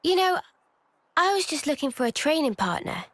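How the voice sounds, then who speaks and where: A woman's voice: sits high, sing-song, normal speed, fairly bright tone, dry recording. A woman with a British accent playing a keen, earnest young female soldier in a video game cutscene. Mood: tentative, earnest.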